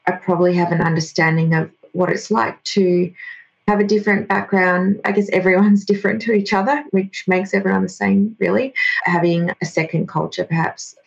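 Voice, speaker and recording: An Australian woman whose first language is Greek, explaining her views in a thoughtful, measured way as a podcast guest. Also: mid-range voice, steady tone, vocal fry. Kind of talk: podcast interview. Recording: slightly dull lo-fi audio.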